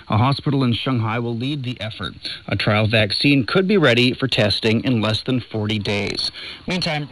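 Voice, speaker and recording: A man's voice: medium pitch, a bit bright, rough recording. A man presenting scripted radio news, heard through a shortwave broadcast. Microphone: studio broadcast heard over shortwave.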